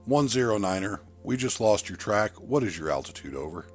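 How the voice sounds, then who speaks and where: A brisk, businesslike tower controller , acted by a male narrator, speaks in clipped official radio phrases. The voice is fairly low, in an audiobook thriller with music behind it. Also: sing-song. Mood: brisk, alert.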